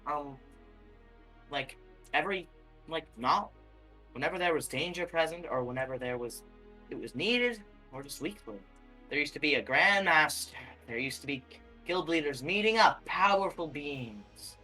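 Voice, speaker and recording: A roleplay player speaking in character, measured and cryptic, often trailing off and changing the subject. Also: medium pitch, expressive, pushed and bright, soft background music, poor audio. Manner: measured, cryptic, keeps saying anyways.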